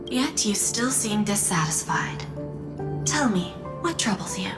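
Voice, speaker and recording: A woman's voice: medium pitch, sing-song, measured pace. Clinical female appraiser in long reverb. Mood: clinical, probing. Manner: clinical, formal. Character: precise, analytical female appraiser of forgeries. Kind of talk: video game voice acting. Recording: long hall-like reverb under music.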